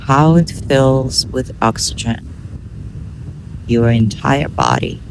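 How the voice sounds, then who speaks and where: A woman leading a guided meditation in measured, instructional short phrases with pauses between them. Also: extremely low pitch, creaky, a little mellow, a little hiss or hum, low-quality recording.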